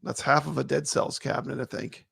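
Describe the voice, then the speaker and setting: A man co-hosting a casual live stream, chatting with viewers; his voice is still gravelly from being sick. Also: medium pitch, fairly quick, dry recording, studio quality. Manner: relaxed, chatty, greets viewers. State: gravelly, voice not fully recovered.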